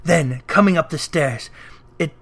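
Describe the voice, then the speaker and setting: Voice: medium pitch, measured pace, fairly bright tone. A narrator reading a classic tale aloud as dramatic storybook narration. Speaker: narrator, reader.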